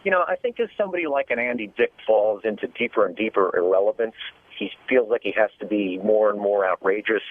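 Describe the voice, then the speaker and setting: A man phoning a radio show over a narrow line, giving his opinion in measured casual talk. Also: fairly low pitch, no echo. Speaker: radio caller. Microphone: telephone. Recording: narrow, tinny phone line.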